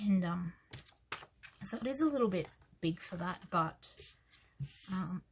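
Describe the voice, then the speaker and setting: Australian woman, hoarse and croaky today, slow soft-spoken planner chat with quiet asides. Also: on the low side, expressive, slight background noise. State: scratchy, croaky voice. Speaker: planner vlogger. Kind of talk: casual planner walkthrough.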